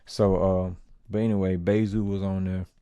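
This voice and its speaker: Male podcast host, dark mellow tone, dry close sound, relaxed rambling delivery. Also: low-pitched, normal speed, clear, resonant tone, no room sound. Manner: laid-back, filler-heavy street talk. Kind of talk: casual commentary.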